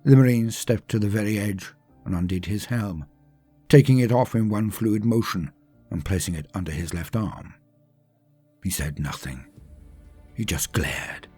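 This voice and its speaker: A man's voice: lowish voice, a little slow, long pauses, mellow, breathy edge. A man reading a scripted story as a dramatic narrator, with theatrical, emphatic phrasing that drops away at line ends. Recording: music under the voice.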